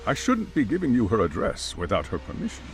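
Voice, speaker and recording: A man's voice: on the low side, huge pitch swings, brisk, soft background music. An actor playing a wary, proper chairman in an English game dub, hesitant, cautious and defensive. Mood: reluctant. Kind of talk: dramatic game cutscene dialogue.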